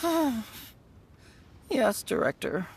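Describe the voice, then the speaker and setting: An actor playing a hesitant, resigned funeral parlor employee in a video game, halting and deferential. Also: mid-range voice, sing-song, breathy edge, exaggerated voice.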